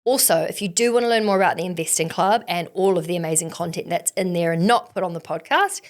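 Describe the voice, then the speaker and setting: A woman's voice: lowish voice, expressive, quick pace. A woman hosting a podcast, chatting casually and informally with emphasis and plenty of "like". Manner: informal, emphatic, uses "like" often. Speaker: podcast host. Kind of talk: casual interview chat.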